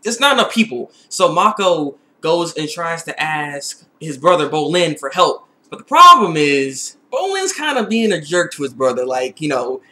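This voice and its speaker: A young man giving a casual, excitable episode recap, repeating phrases for emphasis. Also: fairly high pitch, moderate pace, few pauses. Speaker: TV episode reviewer. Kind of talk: casual video recap and review.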